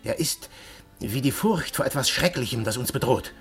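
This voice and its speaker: An actor playing an earnest, defiant undercover police detective, courteous and dramatically emphatic, in a German radio play. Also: male voice, mid-range voice, lively intonation, normal speed, faint music behind, natural voice. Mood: grave, resolute.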